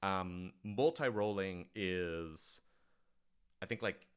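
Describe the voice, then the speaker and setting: A man with a lowish, smooth and resonant voice, chatting through audience questions on a livestream. He explains things casually and with enthusiasm, feeling his way through each answer. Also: slow. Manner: enthusiastic, explanatory, conversational with frequent hedging.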